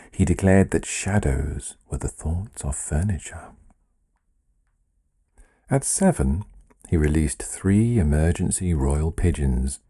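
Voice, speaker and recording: Male storyteller for literary fable: low, husky, measured delivery with wry, expressive swings and deliberate pauses. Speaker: storyteller reading own written fable. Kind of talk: literary fairy-tale narration.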